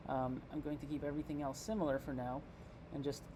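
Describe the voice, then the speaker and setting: Man giving a halting demo, noisy recording. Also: fairly high pitch, little pitch movement. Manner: halting, explanatory, thinking aloud. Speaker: presenter giving a software demo. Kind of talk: informal screen-reader tutorial narration.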